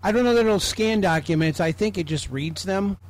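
A man's voice: high-pitched, fairly quick. A man from Illinois with an American accent, chatting casually and explaining things from a small home office. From Illinois, United States. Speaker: guest on a group call.

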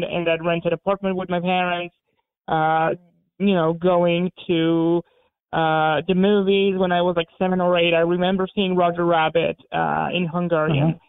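A man from Poland whose first language is Polish, talking as an interview guest in a halting way, with many repeated words and restarts. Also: sits high, clean tone, no echo. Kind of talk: casual interview talk. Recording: phone-like narrow band.

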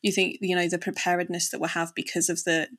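A woman's voice: lowish voice, flat delivery, quick pace, a bit bright. A woman hosting a casual podcast interview in an informal, chatty way.

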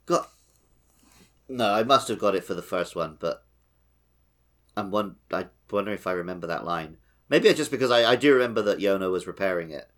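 A man's voice: sits low, expressive, fairly quick, fairly bright tone. A man co-hosting a podcast, chatting casually about a video game. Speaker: podcast co-host. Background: background music.

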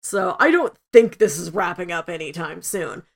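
A woman's voice: on the low side, expressive, moderate pace, creaky. Woman chatting casually as a podcast co-host. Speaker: podcast co-host reviewing an audio drama. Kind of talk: casual discussion chat.

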